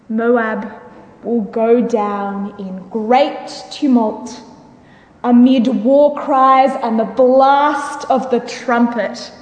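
A woman giving a solemn, declamatory dramatic reading of scripture. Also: a little high. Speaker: reader in a church dramatic reading. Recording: live hall recording with long reverb.

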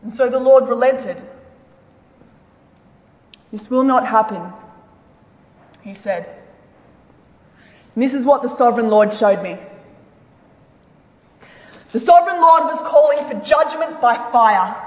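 Female dramatic scripture reader, high-pitched, theatrical storyteller, strong hall reverb. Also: lively intonation, slow, long pauses. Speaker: Bible reader in a dramatic reading. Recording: echoey hall with long reverb.

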